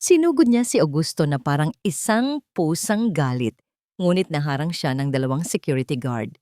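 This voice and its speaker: Solemn woman narrator, lowish voice. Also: animated, moderate pace, warm tone, dry recording, clean studio sound. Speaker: story narrator. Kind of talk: dramatic scripted story narration.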